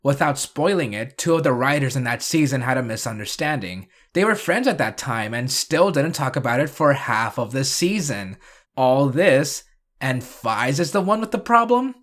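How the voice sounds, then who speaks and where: A man's voice: mid-range voice, lively intonation, normal speed, a bit bright. A man narrating a scripted video review and recap, with dry, sarcastic asides.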